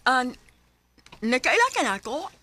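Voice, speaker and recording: High-voiced, coy Filipino student. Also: female voice, sing-song, moderate pace, pushed and bright, exaggerated voice. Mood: shy, hopeful. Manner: hesitant, coy. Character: shy high-school student with a secret crush. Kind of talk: Philippine radio drama acting.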